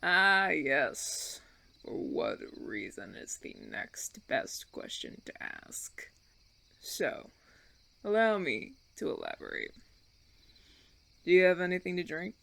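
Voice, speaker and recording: Guy doing a smug vampire leader for audio roleplay, slow n teasing, creaky voice, crickets behind. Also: on the high side, wildly swooping pitch, bright, forceful tone, no echo, exaggerated voice. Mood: smug, taunting. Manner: theatrical, teasing, drawn-out phrasing with sighs and chuckles. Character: suave, condescending male vampire colony leader, menacingly playful. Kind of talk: roleplay audio drama monologue, ASMR.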